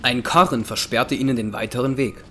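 A man's voice: mid-range voice, steady tone, fairly quick, sharp tone, a little hiss or hum. A man narrating an audio drama from a script.

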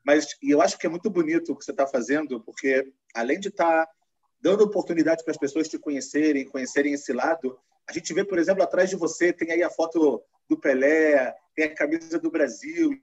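A man's voice: on the high side, a little fast, darkish tone, slightly rough. A man hosting a live-streamed interview, talking in a loose, conversational way and asking long, rambling questions. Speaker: host. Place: lives in Israel. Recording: muffled lo-fi stream audio.

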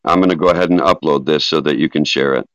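A man's voice: rumbling low, fairly even intonation, quick pace. A man hosting a live podcast, speaking in a solemn reading style or in casual talk. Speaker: host of a live podcast reading a tribute aloud. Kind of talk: solemn dramatic reading and casual call-in chat. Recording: echoey room, dull lo-fi sound.

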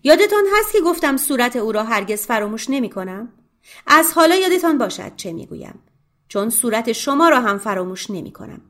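A woman voicing a fierce, defiant doctor's wife who urges others on and shouts in anger, in an audiobook. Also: a little high, close to an everyday voice. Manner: urgent, angry shouting.

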